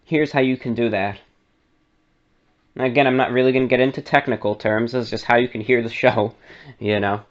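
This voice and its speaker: A man's voice: medium pitch, fairly even intonation, brisk, long pauses, dull audio. A man giving a conversational, step-by-step how-to narration as the host of a radio show. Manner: conversational, step-by-step instructions with asides. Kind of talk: explanatory how-to tutorial narration.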